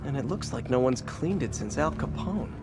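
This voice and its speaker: An actor playing a young wisecracking superhero in a video game, with an American accent and a casual, quippy delivery. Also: male voice, medium pitch, lively intonation, normal speed, loud music behind the voice, natural voice. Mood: wry. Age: young adult. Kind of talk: video game voice acting, natural dialogue.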